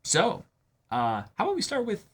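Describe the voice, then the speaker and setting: A man's voice: high voice, huge pitch swings, moderate pace, fairly bright tone. A man co-hosting a reaction show, commenting casually with sarcastic jokes and some swearing.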